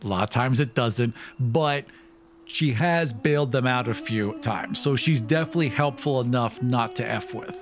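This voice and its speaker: Male tabletop player, medium pitch, casual explanatory chat, slightly wry. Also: moderate pace, faint music behind.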